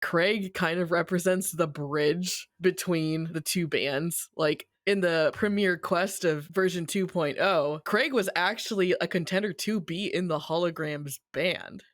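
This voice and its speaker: A podcast host giving a casual, slangy and wry recap of a story in an animated way. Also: mid-range voice, measured pace, few pauses, no room sound, clean studio sound.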